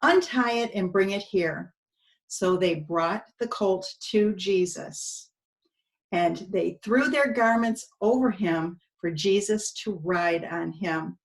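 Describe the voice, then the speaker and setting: A woman's voice: mid-range voice, slow, poor audio. A woman preschool teacher reading a storybook aloud expressively to young children, with little asides to her listeners.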